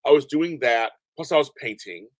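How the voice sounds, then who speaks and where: Brief: deep-voiced male guest, casual rambling chat, dry close sound, mid pitch. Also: normal speed, dry recording. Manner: rambling, repeats himself, animated. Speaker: podcast guest. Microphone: remote call from home.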